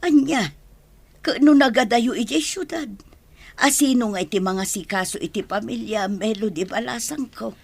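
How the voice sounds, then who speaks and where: A woman's voice: on the high side, normal speed, gravelly, slightly rough, no room sound. Woman playing a scolding grandmother, dry close sound. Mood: reproachful, concerned. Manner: reproachful, questioning. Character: scolding, protective elderly grandmother. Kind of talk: radio drama acting.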